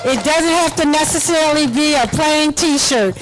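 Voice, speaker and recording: A woman giving measured live commentary as a fashion show announcer at a bar event. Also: high voice, rough recording. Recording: faint music behind the voice.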